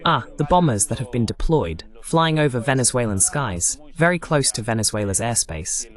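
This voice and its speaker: A man's voice: mid-range voice, expressive, moderate pace. A man speaking as the translated voice-over of a talk show host in an interview. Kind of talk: dubbed interview hosting.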